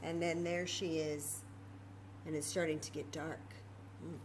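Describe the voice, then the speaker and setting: A woman storyteller reading aloud to young children in an expressive, chatty way, full of wonder and questions. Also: deep voice, a little slow, slight background noise.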